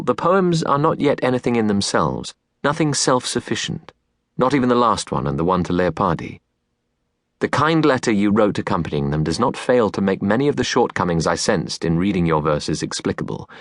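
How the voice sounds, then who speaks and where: Low-voiced man reading literary audiobook, dry sound. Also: big pitch swings, dry recording. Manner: measured, earnest, deliberate phrasing. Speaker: audiobook narrator. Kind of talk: literary audiobook narration of letters. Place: recording studio. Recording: dry, close studio recording.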